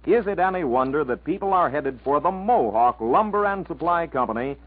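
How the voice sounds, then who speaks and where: A man's voice: on the high side, lively intonation, fairly quick. An American man announcing and reading an advertisement in 1940s radio style. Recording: narrow, noisy vintage 1940s radio broadcast.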